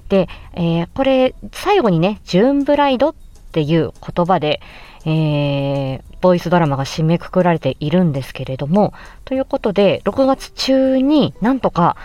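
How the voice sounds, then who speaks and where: A woman with a low, mellow voice gives a casual solo talk as a voice actor. She speaks politely and reflectively, as if chatting with listeners. The tone is dark and relaxed. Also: normal speed, muffled recording. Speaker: voice actor presenting own work.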